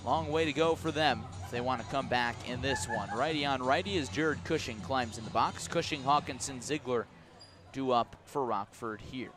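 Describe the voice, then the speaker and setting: A man's voice: mid-range voice, moderate pace. American baseball radio announcer, man, music behind. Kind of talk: live radio sports commentary.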